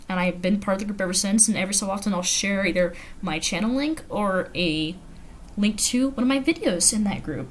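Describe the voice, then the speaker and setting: A woman with an American accent hosting a livestream, chatting informally and giggly as she reads out viewer comments. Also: medium pitch. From the Carolinas, United States. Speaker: livestream host. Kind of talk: casual livestream chat, reading viewer comments aloud. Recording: noisy home livestream audio.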